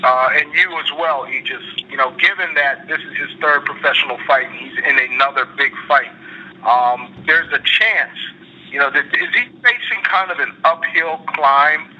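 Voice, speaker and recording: A man's voice: fairly high pitch, normal speed, sharp tone. Man asking questions on a muffled phone call. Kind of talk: press conference call questioning. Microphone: telephone conference call. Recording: muffled phone line, faint noise.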